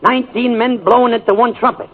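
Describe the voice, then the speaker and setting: A man's voice: very high-pitched, steady tone, fast, husky. A man playing the formal straight man in 1940s radio comedy banter. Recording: tinny 1940s radio broadcast.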